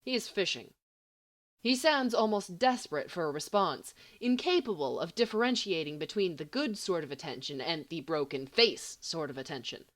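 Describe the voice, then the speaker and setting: A woman reading a story aloud as an amateur audiobook narrator, in an expressive, dramatic and wry manner. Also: on the low side, moderate pace. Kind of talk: expressive scripted fiction narration.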